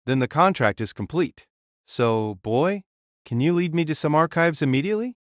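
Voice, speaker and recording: A man's voice: lively intonation, moderate pace, no echo, studio quality, close to an everyday voice. An actor playing a huge warrior king spirit in an audio drama, bold, imperious and unflustered. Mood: commanding. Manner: imperious, booming, declarative.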